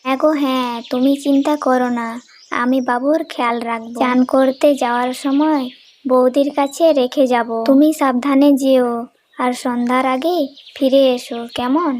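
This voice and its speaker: Woman as a high-pitched, sweet young village wife. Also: steady tone, normal speed, darkish tone, close to an everyday voice. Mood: caring. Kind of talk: storybook cartoon dialogue.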